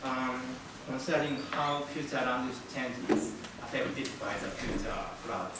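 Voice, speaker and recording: Hesitant lecturing man in echoey room. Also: medium pitch. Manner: halting, many fillers, non-native English. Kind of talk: academic presentation. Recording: echoey room from far off, noisy.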